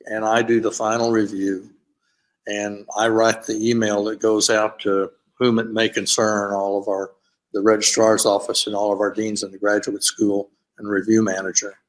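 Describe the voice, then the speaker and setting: An older man with a lowish voice speaks on a conference panel over a video call. He explains his office's process step by step at an unhurried, steady pace and often pauses on "uh.". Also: poor audio. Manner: steady, explanatory, with frequent "uh" fillers. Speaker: panelist, graduate school administrator.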